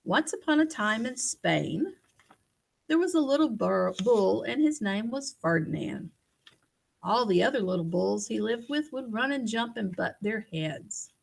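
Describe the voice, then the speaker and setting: A woman reading a children's picture book aloud in an expressive storytelling manner, speaking directly to young listeners. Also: fairly high pitch, measured pace, creaky.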